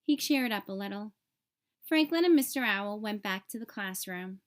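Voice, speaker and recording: Female storybook narrator, high register, expressive read-aloud delivery for kids.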